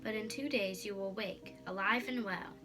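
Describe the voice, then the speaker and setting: A woman's voice: mid-range voice, moderate pace, over music, natural voice. A woman voicing a kindly, troubled friar in a storybook, earnest and reassuring. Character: kindly troubled old friar counselling a girl.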